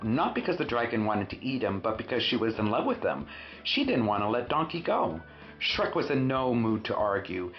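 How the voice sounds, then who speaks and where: A man reading a children's storybook expressively, with dramatic emphasis and drawn-out words. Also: fairly low pitch. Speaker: storyteller, host of a read-aloud show. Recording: roomy, muffled sound with faint music.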